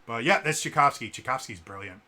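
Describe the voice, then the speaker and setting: A man with a stutter giving casual spoken commentary as a vlogger, halting with many fillers and repeated sounds. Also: mid-range voice, sharp tone.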